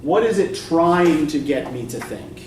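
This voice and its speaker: A young man lectures a college writing class in a loose, rambling, self-deprecating way, peppering his points with "right?". His voice sits a little on the high side. He is captured from a distance in an echoey classroom, with a faint hum of noise underneath. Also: expressive, unhurried, a little mellow. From Eastern Pennsylvania. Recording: echoey classroom recorded from afar.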